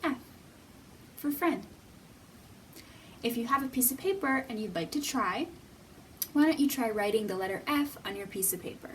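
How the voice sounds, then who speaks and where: A woman hosting a children's storytime, speaking in simple, deliberate, teacherly phrases with pauses and questions for young viewers. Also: fairly high pitch, expressive, a bit bright, far from the mic, a little hiss or hum. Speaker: children's library storytime host.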